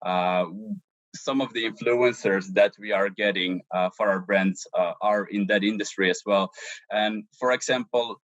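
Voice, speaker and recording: Man, halting interview answers, low pitch. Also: fairly even intonation, a bit bright, no echo, dull audio. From Belgium. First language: Dutch. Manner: hesitant, many ums and restarts. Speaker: social media manager, interview guest.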